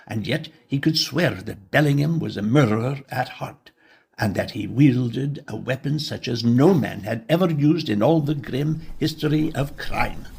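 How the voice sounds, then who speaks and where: A man reading scripted literary narration of a period mystery as the storyteller, with a creaky voice. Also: medium pitch, measured pace, darkish tone.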